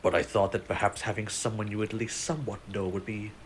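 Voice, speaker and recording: An actor playing a benevolent, commanding king in a romantic audio drama, speaking in a formal, measured, courtly way. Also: lowish voice, steady tone, a little hiss or hum, close to an everyday voice. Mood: gentle, considerate.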